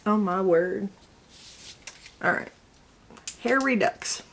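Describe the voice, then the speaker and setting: A woman's voice: mid-range voice, slow, creaky, a bit bright, slight background noise. A young woman with a slight Southern US accent, chatting snarkily through a get-ready-with-me makeup video and muttering asides. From Connecticut and the US South. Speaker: beauty vlogger. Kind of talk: casual get-ready-with-me chat.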